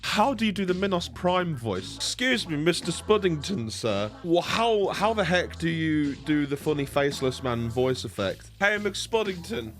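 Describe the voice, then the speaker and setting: A man's voice: a little high, big pitch swings, normal speed, hardly any pauses, a bit bright, soft background music, voice pushed up. A man voicing eager, fawning fans who gush and stammer out questions in a comic vlog skit. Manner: gushing, stammering.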